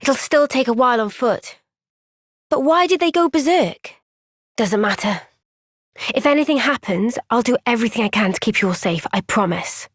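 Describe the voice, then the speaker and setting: An actress playing an earnest, gentle but firm young woman fighter in a video game, speaking in a measured, sincere way. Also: a little high, lively intonation, dry recording, studio quality. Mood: resolute. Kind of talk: video game cutscene voice acting.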